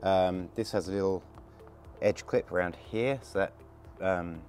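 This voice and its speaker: A man with a gravelly voice from a cold, casually talking through a hands-on installation while he works. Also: deep voice, measured pace, faint music behind. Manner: relaxed, chatty, talks while working with hands. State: hoarse from a cold. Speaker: product installer demonstrating. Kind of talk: casual how-to demonstration.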